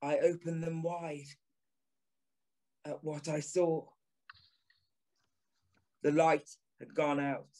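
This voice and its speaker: Audio drama lead: haunted ex-journalist signalman, higher male voice, slow and weighty delivery, grave, tormented intensity. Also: little pitch movement, a little mellow. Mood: anguished. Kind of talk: dramatic first-person audio drama narration.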